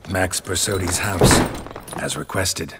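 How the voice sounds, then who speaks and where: An actor playing a gruff, weary monster hunter in a video game, speaking in a terse, deadpan way. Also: male voice, low voice, takes it slowly, noisy background. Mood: matter-of-fact. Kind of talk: understated video game acting.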